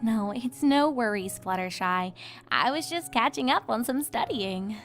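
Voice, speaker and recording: A woman's voice: fairly high pitch, lively intonation, a little slow, faint music behind. A woman voicing a studious, reasonable young unicorn mare in a cartoon fan fiction reading, speaking politely. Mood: reassuring.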